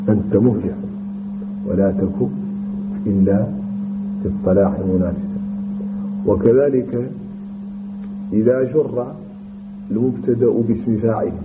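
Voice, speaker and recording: A man teaching a classical grammar lesson, explaining slowly with restarts and repetitions and chanting verse lines. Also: on the low side, steady tone. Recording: tinny phone-like line with background hum.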